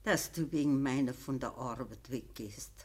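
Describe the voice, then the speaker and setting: An actress playing a gentle, ailing old farmer's wife in a radio play, speaking Bavarian dialect with a weak, resigned manner. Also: female voice, rumbling low, husky. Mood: weary, resigned. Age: older. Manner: slow, plain rural dialect speech. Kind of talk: naturalistic radio drama acting.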